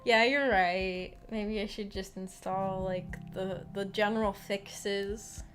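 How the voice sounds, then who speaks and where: Woman streamer just chatting w her viewers, kinda hesitant and rambly, normal pitch, bit of music in the back. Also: fairly even intonation, takes it slowly. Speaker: streamer hosting a visual novel book club. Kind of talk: casual livestream chat, reading out and answering viewer comments. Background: background music.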